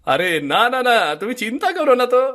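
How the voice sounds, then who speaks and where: A man playing an eager, superstitious and lazy young farmer in a cartoon moral tale, with an excitable, insistent, wheedling way of talking. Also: extremely high pitch, fast talker, exaggerated voice. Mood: excited, carefree.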